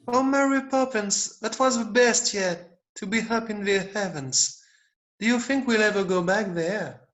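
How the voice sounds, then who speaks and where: A man's voice: sits high, unhurried, fairly bright tone, low-quality recording. A man playing an earnest, affectionate young boy in an amateur script read-through.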